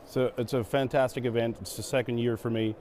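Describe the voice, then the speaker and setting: A middle-aged man from Venezuela, a native Spanish speaker, answering questions on a conference stage in a relaxed way, with many fillers and repeated words. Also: mid-range voice, fairly quick, slight background noise. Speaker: guest, operations executive.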